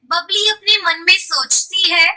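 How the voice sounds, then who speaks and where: Very high, bright woman storyteller. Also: fairly even intonation, a little slow. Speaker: narrator. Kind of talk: scripted children's story narration.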